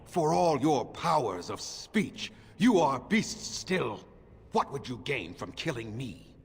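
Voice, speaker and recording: An actor playing a vengeful old elf leader in a fantasy game, speaking gravely and formally with defiance. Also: male voice, mid-range voice, big pitch swings, takes it slowly, raised voice, heavily acted. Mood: scornful, defiant.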